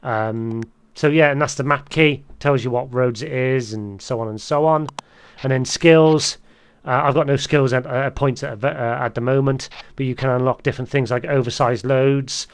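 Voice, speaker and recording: A man's voice: medium pitch, steady tone, normal speed, clean tone. A man hosting a casual gameplay tutorial, explaining things in a rambling way. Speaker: gaming video host.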